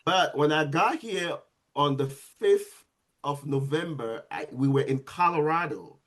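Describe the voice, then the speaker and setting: A man, a nurse guesting on a podcast, telling his story in a lively way with frequent restarts. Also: on the high side, a little slow. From Sierra Leone. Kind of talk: casual storytelling interview.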